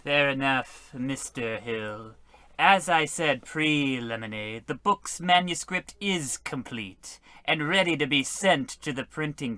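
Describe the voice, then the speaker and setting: A man's voice: mid-range voice, sharp tone, no echo. A man playing a creepy, genteel horror writer with formal, mysterious phrasing in an old-radio comedy style.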